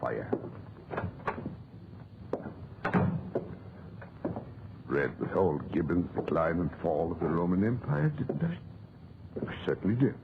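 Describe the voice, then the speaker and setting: An actor playing a stubborn amateur antiquarian who mutters theatrically to himself in a 1940s radio drama. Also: male voice, low-pitched, vocal fry, exaggerated voice. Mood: brooding, defiant. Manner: theatrical muttering with tag questions and repeats. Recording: muffled, very noisy old 1940s radio recording.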